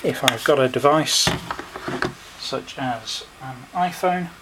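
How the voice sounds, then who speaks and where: Man demonstrating a product, noisy recording. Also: mid-range voice. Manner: unhurried step-by-step explaining in short phrases. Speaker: product reviewer. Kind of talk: hands-on product review demonstration. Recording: noisy home recording with clicks.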